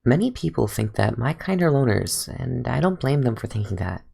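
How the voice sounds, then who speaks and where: Fan game dub: a young man as a calm, sardonic fox-eared ranger scholar with a gravelly, fry-laden tone. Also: medium pitch, normal speed, exaggerated voice. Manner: dry, sardonic, with soft musing asides. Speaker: fan voice actor. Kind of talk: fan redub of game voice lines.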